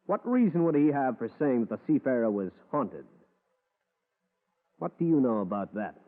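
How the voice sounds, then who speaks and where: Man playing a dry hardboiled private eye on tinny old radio. He wisecracks and narrates with a lively, rising and falling delivery. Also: medium pitch, moderate pace, close to an everyday voice. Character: hardboiled male private detective. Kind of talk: 1940s radio acting.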